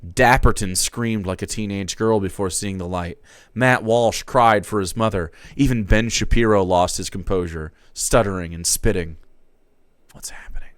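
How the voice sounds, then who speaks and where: A man streamer giving a dramatic reading with wry, deadpan asides. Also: fairly low pitch, normal speed, clear, resonant tone, dry recording.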